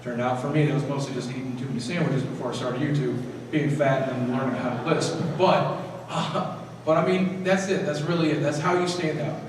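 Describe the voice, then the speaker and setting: A man speaking to a live audience at a convention panel in an energetic, direct way, with quick restarts. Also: medium pitch. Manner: energetic, talks straight to the crowd with quick restarts. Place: large echoey convention hall. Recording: echoey convention hall from far off with noise. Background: hall ambience noise.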